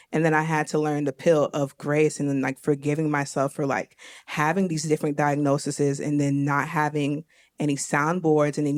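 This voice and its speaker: A young woman from Miami hosting a live stage conversation, talking candidly and laughing easily. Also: sits low. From Miami, Florida. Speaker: host. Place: live event stage before an audience.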